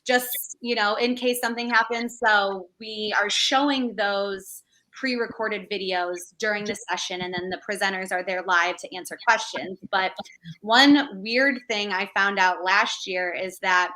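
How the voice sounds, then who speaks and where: A woman's voice: medium pitch, a little slow, rough recording. A young woman, a conference planner, chatting casually about running a virtual event, with frequent fillers. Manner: relaxed, conversational, frequent fillers. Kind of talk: casual chat.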